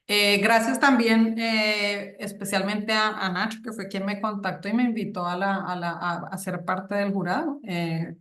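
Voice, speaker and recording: A woman's voice: medium pitch, steady tone, moderate pace, few pauses, a bit bright. Hesitant woman on an echoey video call. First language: Spanish. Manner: hesitant, many fillers and restarts. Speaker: thesis jury member. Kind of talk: academic questioning at a thesis defense. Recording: echoey room.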